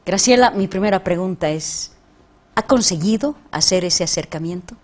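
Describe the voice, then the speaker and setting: A woman journalist hosting an interview, introducing her guest and asking measured questions. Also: lowish voice. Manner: measured, frequent short acknowledgments. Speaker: journalist and show host. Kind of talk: interview questions and back-channel replies.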